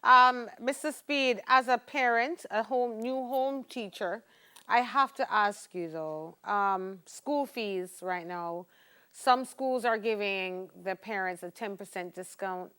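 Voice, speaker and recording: A woman's voice: mid-range voice, slow, bright, forceful tone, clear, resonant tone. A Jamaican woman hosting a morning show, asking questions in a chatty, direct way. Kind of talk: conversational interviewing.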